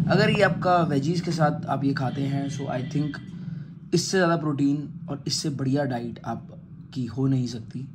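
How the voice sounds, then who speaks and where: A man in his thirties chatting casually to a phone camera for his vlog, mixing English words into Hindi. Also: mid-range voice. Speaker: vlogger. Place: gym, then home, then outside a temple at night. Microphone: phone camera at arm's length. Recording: noisy phone vlog audio.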